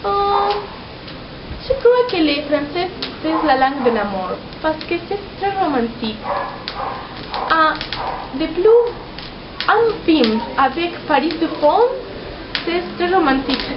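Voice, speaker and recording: High-voiced teenage girl in an echoey room. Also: big pitch swings, slow pace, long pauses. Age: teens, 17. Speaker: student of French. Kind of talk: prepared personal testimony in French as a learner. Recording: muffled, echoey, noisy amateur recording.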